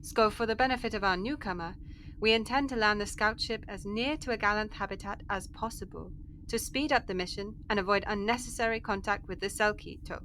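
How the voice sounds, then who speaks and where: A woman acts out a brisk alien commander in a science-fiction audio drama. The voice is tense and forceful, with clipped, ritualistic formality. Faint noise sits behind it. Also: mid-range voice, close to an everyday voice, poor audio. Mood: stern, businesslike. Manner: clipped, formal, commanding, bracketing each utterance with ritual words. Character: brisk alien woman mission chief, rigid formal speech. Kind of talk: sci-fi audio drama acting.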